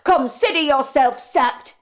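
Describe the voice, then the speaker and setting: A bossy male restaurant manager read by a woman snaps brusquely and dismissively. The voice stays high, close to the reader's own. A storybook boss with no patience. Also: measured pace. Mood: stern. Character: stern middle-aged male restaurant manager, curt.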